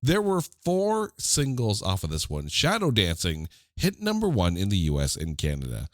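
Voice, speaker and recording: A man's voice: lowish voice, huge pitch swings, moderate pace, no echo, studio quality. A man with a stuffy, slightly nasal voice hosting a casual music podcast, joking with listeners in mock-dramatic asides. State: congested, under the weather. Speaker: podcast host.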